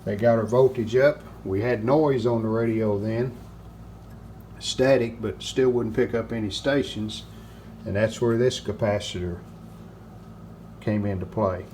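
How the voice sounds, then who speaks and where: Older man, low voice, repair demonstration, halting plain phrasing, noticeable background noise. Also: fairly even intonation, a little mellow. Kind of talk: hands-on repair walkthrough.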